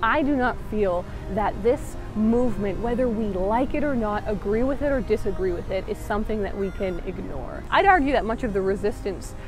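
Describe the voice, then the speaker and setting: A young woman in her twenties narrating a documentary to camera outdoors in an earnest, measured way, on a lapel mic. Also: medium pitch, low-quality recording. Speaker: documentary maker and interviewer. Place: outdoors by a stone wall. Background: background music.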